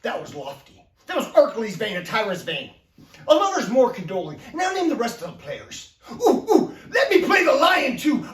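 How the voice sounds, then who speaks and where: A woman performing a Shakespearean comic monologue as a boastful, hammy amateur actor, declaiming with bombast and craving every part. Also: mid-range voice. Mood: self-satisfied. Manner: bombastic, declamatory, shouting and swooping. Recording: echoey room, distant microphone.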